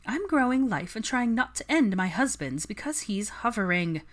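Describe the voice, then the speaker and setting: A woman voicing a chipper, chatty pregnant young woman in animated, gushing audiobook dialogue. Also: on the high side, moderate pace, voice pushed up. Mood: cheerful.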